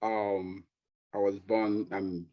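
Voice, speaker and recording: A middle-aged man from Nigeria with a Nigerian accent, a pediatrician guest talking relaxed, with fillers and easy laughs. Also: fairly low pitch, extremely slow, no echo, lo-fi sound. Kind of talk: conversational interview.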